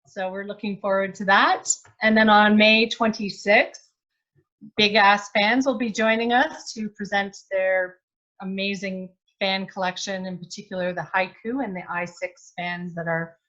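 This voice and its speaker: A woman's voice: medium pitch, fairly bright tone, muffled recording. A woman hosting a live virtual product launch over a video call, upbeat and full of praise for her guest. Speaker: host.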